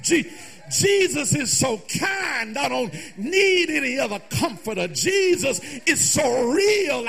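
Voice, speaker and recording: Raspy, high-pitched male preacher, fervent delivery. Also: a bit bright. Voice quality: raspy, gravelly. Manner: emphatic repetition in short, drawn-out phrases with calls to the congregation. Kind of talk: fervent, musical gospel sermon, half-sung and shouted.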